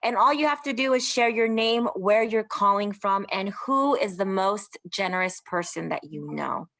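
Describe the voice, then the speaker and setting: A young woman from California opens an online session over a video call. She talks upbeat and warm-hearted, stretching out key phrases for emphasis, with a few "um"s along the way. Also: mid-range voice, normal speed. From California, USA. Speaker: workshop host. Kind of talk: welcoming webinar host.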